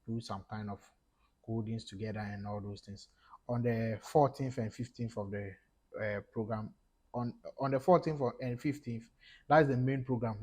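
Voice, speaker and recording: A young man from Ghana with a Ghanaian English accent, talking viewers through a screen-recorded tutorial step by step, with fillers and pauses. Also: mid-range voice, a little mellow, husky. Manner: casual explaining, talks through each step, halting with fillers. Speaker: tech YouTuber. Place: small room indoors.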